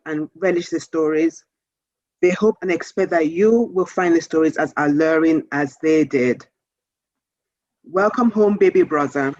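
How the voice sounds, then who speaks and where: Lady reading a kids book out loud, measured but expressive, no room echo, bit muffled. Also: fairly low pitch. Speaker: author reading aloud. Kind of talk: children's storybook read-aloud.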